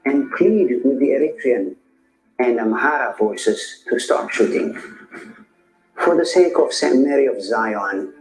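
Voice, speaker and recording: Guy reading as a priest, slow and heavy, echoey room with soft music behind. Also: medium pitch, natural voice. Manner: slow, measured, grave. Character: male priest recounting a church massacre. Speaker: narrator in a played video. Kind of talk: solemn scripted testimony.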